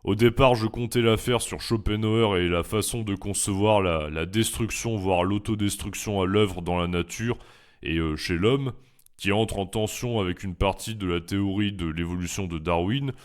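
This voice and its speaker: Measured, low-voiced French man. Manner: measured, reflective, with hesitant fillers. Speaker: philosophy YouTuber and teacher. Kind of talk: reading prepared FAQ answers aloud.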